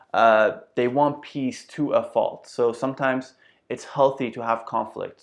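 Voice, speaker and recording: Young adult man, Iranian accent, earnest and reflective vlogger voice, mid-pitched, speaking indoors to a camera. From Iran. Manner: earnest, reflective, gestures with hands while talking. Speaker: self-development video host. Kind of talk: casual talk to camera. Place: bright room indoors with houseplant.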